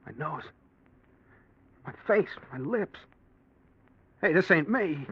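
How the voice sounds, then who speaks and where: An actor playing a tough, streetwise gangster in a 1940s radio crime drama, husky, blunt and slangy. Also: male voice, on the high side, lively intonation, slow, exaggerated voice. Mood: amazed. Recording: muffled vintage radio drama recording.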